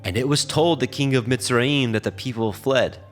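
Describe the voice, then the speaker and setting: A man narrating scripture from a script in a measured, solemn manner. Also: medium pitch, soft background music.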